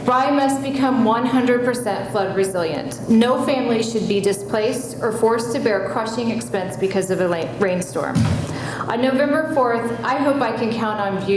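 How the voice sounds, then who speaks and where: A woman candidate delivers a rehearsed campaign speech in a measured, steady way. Her voice rings through a strongly echoing room, with clear background noise underneath. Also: a little high. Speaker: city council candidate.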